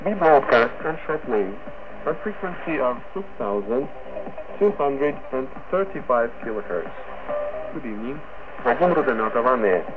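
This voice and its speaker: A man formally reads a scripted news bulletin as a radio presenter, breaking his sentences into halting phrases. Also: mid-range voice, very animated. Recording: noisy, narrow-band shortwave radio broadcast.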